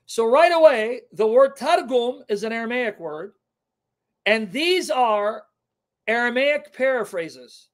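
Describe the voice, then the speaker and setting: A middle-aged man whose first language is Syriac, teaching on a live stream from his home kitchen into a webcam, emphatic and repeating key terms. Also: high voice. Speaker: online apologetics teacher.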